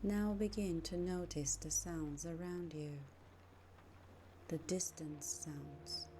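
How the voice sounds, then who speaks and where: Very slow woman guiding meditation. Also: sits low, steady tone, background noise. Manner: gentle, measured, with long pauses between short phrases. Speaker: meditation guide. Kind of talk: slow guided meditation narration. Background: music.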